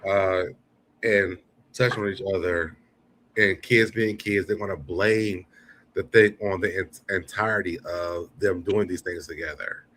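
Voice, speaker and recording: A man's voice: sits low, steady tone, a little slow, fairly bright tone, a little hiss or hum. A man hosting a show, giving casual, blunt and profane live commentary between clips. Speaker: show host.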